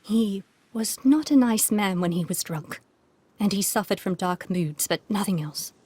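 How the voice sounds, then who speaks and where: A woman's voice: mid-range voice, animated, measured pace, warm tone, slightly rough, no room sound. A woman voicing an unhappy aristocratic Victorian widow with a formal, refined, plaintive manner in a video game. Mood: bitter, sorrowful. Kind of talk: video game cutscene acting.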